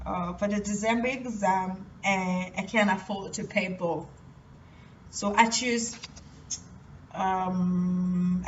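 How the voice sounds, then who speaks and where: A young woman from Cameroon talking about her life in a personal motivational vlog, halting and full of restarts. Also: fairly high pitch, a bit bright. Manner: hesitant, halting, many restarts. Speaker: vlogger. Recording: distant, muffled, light noise.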